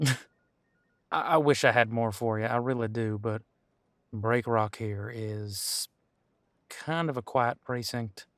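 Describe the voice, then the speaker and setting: A man playing an easygoing veteran police officer in an audio roleplay, chatting casually and candidly with thoughtful pauses. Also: mid-range voice, a bit bright. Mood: wry, apologetic. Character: easygoing veteran male supernatural police officer showing newcomer around. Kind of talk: audio roleplay acting, one-sided conversation.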